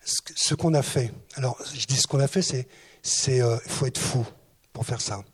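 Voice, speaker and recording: A man's voice: medium pitch, moderate pace, slightly rough. A man who once led a town's youth service, telling his story with many hesitant fillers, noting his accent is not southwestern. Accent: not southwestern French. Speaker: former municipal youth director. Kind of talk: recollections before an audience.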